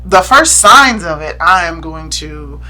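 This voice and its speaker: Candid woman in her thirties, bright lower voice. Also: measured pace, faint music behind, low-quality recording. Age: 30s. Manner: candid, chatty, animated storytelling. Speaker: podcast guest. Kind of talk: casual podcast chat.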